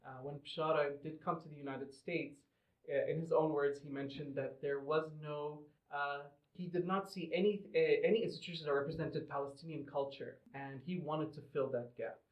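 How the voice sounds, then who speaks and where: Male interview guest, middle-aged, slightly high voice, measured explainer, roomy echoing recording. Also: fairly even intonation. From Lebanon and Qatar. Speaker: podcast guest, museum representative. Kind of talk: conversational interview answers.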